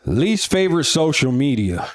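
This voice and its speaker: A man in his thirties with a loud, deep voice, speaking bluntly and casually as an interview guest. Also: very animated, moderate pace, dry recording, clean studio sound. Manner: blunt and frank, swears casually. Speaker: comedy club co-owner, guest.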